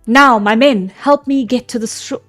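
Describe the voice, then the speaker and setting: A woman's voice: on the high side, normal speed, faint music behind, natural voice. A woman voicing a young male prince who urges his crew to load the ship. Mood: commanding.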